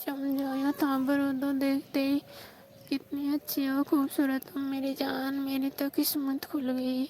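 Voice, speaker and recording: A woman's voice: high-pitched, monotone, measured pace, a little mellow. A woman playing a distressed, complaining young newlywed bride confiding over the phone in a staged call drama, on a noisy recording. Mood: plaintive.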